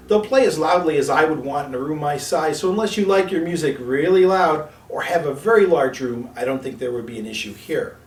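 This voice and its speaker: A man presenting a scripted product review in a measured, articulate way with formal wording. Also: a little high. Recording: echoey room, distant mic, music behind.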